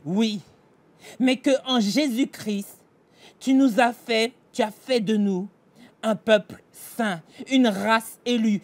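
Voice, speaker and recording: Slow, earnest man pastor, high voice. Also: darkish tone. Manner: earnest, direct address to the listener, emphatic gestures. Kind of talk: daily devotional meditation and prayer. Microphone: facing a fixed camera, mid-shot.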